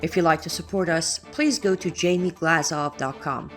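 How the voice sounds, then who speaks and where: Lowish female announcer over soft music. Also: moderate pace. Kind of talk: scripted promotional voice-over.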